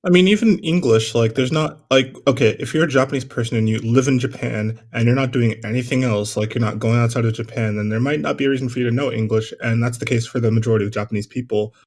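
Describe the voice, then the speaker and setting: A man on a casual group call who talks quickly. He lays out his reasoning in an earnest, explaining way. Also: mid-range voice, a little mellow. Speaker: discord chat participant. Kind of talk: casual voice chat.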